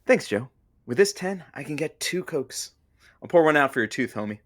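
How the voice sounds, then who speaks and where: A man's voice: on the high side, sing-song, normal speed. An actor playing a smug, laid-back young male sidekick in an audio comedy drama, with a casual, wry, playful delivery.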